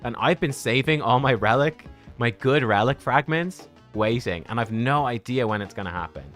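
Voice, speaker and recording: A man's voice: medium pitch, lively intonation, moderate pace, a bit bright, faint music behind. A man in his thirties chatting casually with viewers on a game livestream, rambling and joking at his own expense. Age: 30s.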